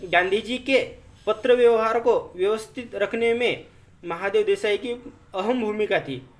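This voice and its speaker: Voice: medium pitch, a little slow. A presenter reads notes aloud as an informal explainer, repeating and rephrasing points and often addressing listeners as friends. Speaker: educational video presenter.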